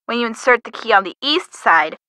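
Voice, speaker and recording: A woman's voice: on the high side, expressive, a little fast, bright, forceful tone, dry recording. A young woman playing a helpful, perky friend in a detective video game, chatty and teasing as she gives scripted hints. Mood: helpful, nudging. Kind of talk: scripted game hint dialogue.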